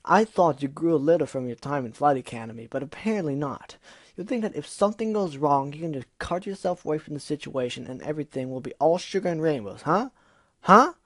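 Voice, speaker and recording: A man voicing an angry young female griffin who shouts and accuses, loud and blunt in every line. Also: mid-range voice, lively intonation, fast, hardly any pauses, slightly rough, no room sound, clean studio sound. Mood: accusing.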